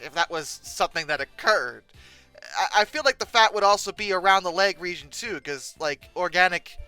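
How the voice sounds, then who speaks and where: Bright, animated, theatrically exasperated commentator. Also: mid-range voice, clean tone, dry recording. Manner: hesitant, stammering, with theatrical exasperated emphasis. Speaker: YouTube host. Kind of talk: humorous unscripted commentary on pictures.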